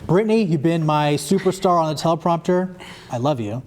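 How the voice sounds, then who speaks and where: A man's voice: on the high side, fairly quick, a little mellow. A young American man anchoring a scripted satirical student newscast. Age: young adult.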